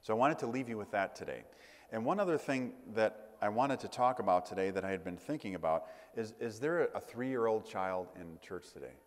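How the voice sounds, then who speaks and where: Middle-aged priest preaching in a reverberant church. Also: male voice, fairly low pitch, animated. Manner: conversational, halting with fillers and restarts. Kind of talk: sermon to a congregation.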